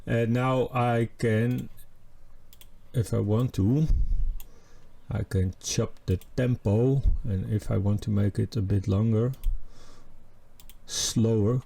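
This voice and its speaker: A man giving a casual, unscripted music software tutorial, pausing often and thinking aloud as he works. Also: fairly low pitch, steady tone, gravelly. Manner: halting, thinking aloud while working.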